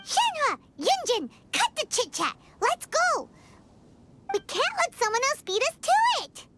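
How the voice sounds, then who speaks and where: A woman voicing a small, excitable, bossy childlike fairy companion in a video game, squeaky and eager. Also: takes it slowly, a little hiss or hum, heavily acted. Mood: impatient. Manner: exclamatory, eager.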